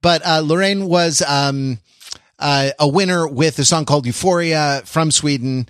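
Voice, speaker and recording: A man hosting a podcast, talking casually about pop culture in a halting, wordy, explanatory way. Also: a little high, fairly bright tone, clear, resonant tone, dry recording, clean studio sound. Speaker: podcast host.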